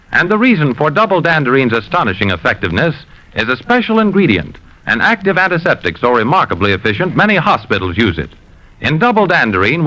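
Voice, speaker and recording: An American male announcer delivers a vintage 1950s radio advertisement. His voice is bright and forceful, with lively, persuasive emphasis and formal phrasing. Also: medium pitch, lo-fi sound. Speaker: commercial announcer.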